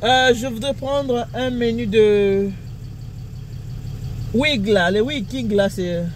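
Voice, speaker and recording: A Congolese woman with a high, bright-pitched voice talks in a chatty, playful way and laughs often. She speaks from a car at a drive-through, and steady traffic and engine noise runs underneath her. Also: slow. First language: Lingala. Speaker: vlogger. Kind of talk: casual vlog chat.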